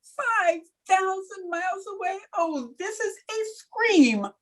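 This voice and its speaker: A woman's voice: very high-pitched, expressive, takes it slowly, fairly bright tone, heavily acted. A woman playing a wisecracking, sardonic schoolteacher in an old-time radio comedy. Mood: amused teasing. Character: wisecracking, dry-witted female high school teacher.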